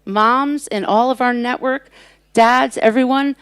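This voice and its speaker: A woman's voice: on the high side, fairly even intonation, measured pace, smooth, dry recording. A woman who runs children's workshops, speaking earnestly and emphatically on a conference panel. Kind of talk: panel talk. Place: conference stage.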